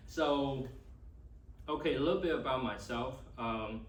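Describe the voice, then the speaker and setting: A man's voice: mid-range voice, measured pace. Taiwanese-accented man teaching in an echoey room. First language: Chinese. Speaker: class instructor. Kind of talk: informal teaching and personal introduction. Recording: echoey room, distant mic, faint noise.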